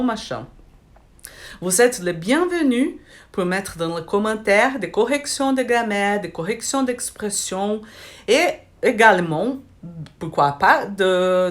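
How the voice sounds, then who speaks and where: Slow, hesitant Brazilian-accented woman vlogger. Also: mid-range voice. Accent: Brazilian Portuguese. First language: Brazilian Portuguese. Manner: hesitant, many restarts and fillers. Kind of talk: casual chat to camera. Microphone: phone held in hand.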